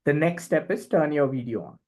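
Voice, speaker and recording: A man's voice: a little high, fairly quick, darkish tone. A middle-aged man with an Indian accent explains things conversationally as a podcast guest, emphatically and with frequent short tag questions. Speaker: podcast guest, communication and leadership expert.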